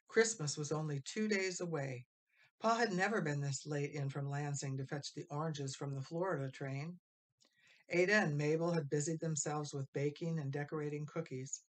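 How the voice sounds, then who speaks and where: A woman reading a children's story aloud as expressive scripted narration. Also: deep voice. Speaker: storybook reader.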